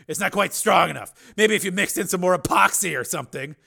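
A man voicing a bitter, wounded cyborg policeman in an audiobook, gruff, sarcastic and explosive. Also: on the high side, fast talker, voice pushed up, heavily acted. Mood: harsh sarcasm. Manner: harsh, sarcastic, forceful. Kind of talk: dramatic audiobook character acting.

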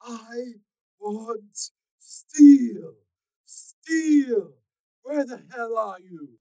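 A man voicing an unstable male kidnapper who screams and rants in a drawn-out, raised voice. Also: extremely high pitch, lively intonation, warm tone, no echo, voice pushed up, heavily acted, low-quality recording. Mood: furious.

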